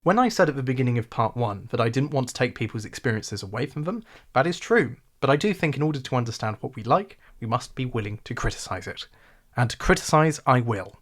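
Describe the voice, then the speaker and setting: Male, RP English, wry sarcastic video essayist, medium pitch. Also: fairly quick. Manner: wry, sarcastic, animated delivery. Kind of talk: scripted video essay narration.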